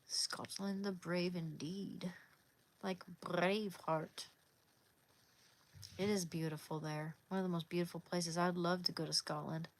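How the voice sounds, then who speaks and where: A woman's voice: on the low side, flat delivery, a little slow, low-quality recording. A woman from Oregon hosting a casual livestream, chatting easily with viewers and answering their comments by name. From Oregon, USA. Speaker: livestream host. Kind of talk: casual live chat reading comments.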